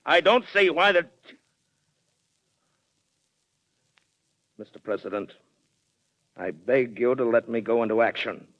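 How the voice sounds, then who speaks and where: An actor playing a proud, stubborn retired colonel with an American accent, formal and emphatic in 1940s radio acting style. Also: male voice, medium pitch, big pitch swings, moderate pace, a bit bright, no room sound. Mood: indignant, insistent. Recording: dry, muffled 1940s radio recording.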